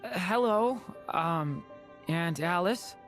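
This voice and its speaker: An actor voicing a gentle, modest and earnest young boy in an anime game, with a hesitant, apologetic manner. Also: mid-range voice, animated, slow, faint music behind. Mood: puzzled, hesitant. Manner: hesitant, apologetic, soft-spoken.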